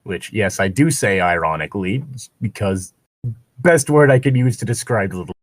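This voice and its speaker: A man with a fairly low voice, recorded close and dry. He talks casually and ramblingly, like someone sharing side thoughts during a read-aloud with a friend, stopping to comment or curse. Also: expressive, normal speed, no room sound. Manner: rambling, breaks off to comment and swear. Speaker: co-host reading fan fiction aloud. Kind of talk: casual chat while reading fan fiction aloud.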